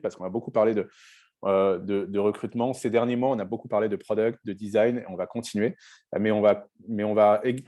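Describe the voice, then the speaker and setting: A French man hosting a panel discussion in a warm, mellow, fairly low voice. He talks loosely and hesitantly, restarting phrases and filling pauses as he introduces each topic. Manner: relaxed, hesitant, with frequent fillers and restarts. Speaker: webinar host. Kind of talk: hosting a live panel webinar.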